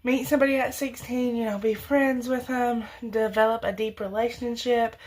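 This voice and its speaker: A woman's voice: on the high side, steady tone, normal speed, darkish tone. A young woman, about twenty, giving earnest, rambling faith advice straight to viewers, with frequent restarts and self-corrections. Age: young adult. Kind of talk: casual faith talk to camera.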